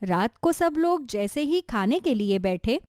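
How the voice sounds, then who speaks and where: A woman's voice: on the high side, sing-song, unhurried, a little mellow, dry recording, studio quality. A woman reading scripted narration for a cartoon story.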